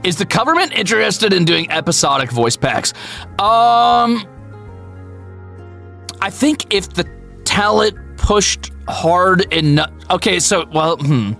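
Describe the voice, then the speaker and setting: A man's voice: high-pitched, expressive, slow, creaky, sharp tone, soft background music. A man chatting casually on a livestream, candid and playful, swearing freely and poking fun at himself. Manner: candid, playful, profane, self-deprecating. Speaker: VTuber streamer and voice actor. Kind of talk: casual livestream chat answering viewers.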